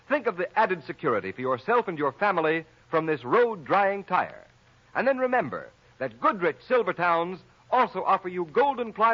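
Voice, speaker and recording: A male 1930s radio announcer with a high voice and lively, sweeping intonation. He delivers his copy emphatically, and the vintage recording sounds muffled. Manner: emphatic, declamatory.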